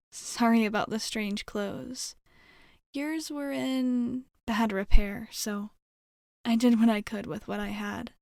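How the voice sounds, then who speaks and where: Slow, soft-spoken young alien woman, acted for ASMR. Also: a little high, no echo, clean studio sound. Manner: soft, reassuring, hesitant, caring. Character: gentle, curious young female alien rescuer soothing a frightened human.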